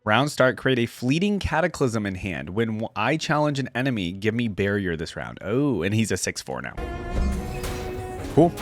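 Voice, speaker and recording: A man's voice: on the low side, sing-song, normal speed, faint music behind. A man hosting a gaming reaction video, chatting informally and thinking aloud as he reads game text and comments on it.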